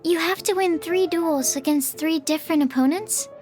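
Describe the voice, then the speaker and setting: A woman voicing a gentle, curious young female alchemist in a video game, with a tentative manner. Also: very high-pitched, normal speed, faint music behind. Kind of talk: video game character acting.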